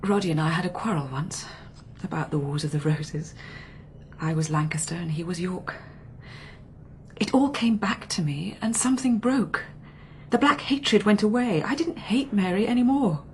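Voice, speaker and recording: A woman's voice: lowish voice, moderate pace, a little hiss or hum, close to an everyday voice. An actress playing a tense, guarded young upper-class woman in a radio drama, clipped and haunted.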